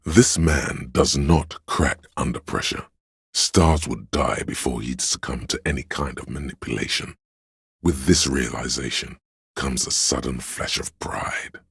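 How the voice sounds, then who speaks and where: A man voicing a grave, all-knowing narrator in a detective video game, measured and solemn, with a gravelly, husky voice. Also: a bass voice, a bit bright, no room sound, clean studio sound, full character voice. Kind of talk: scripted dramatic narration.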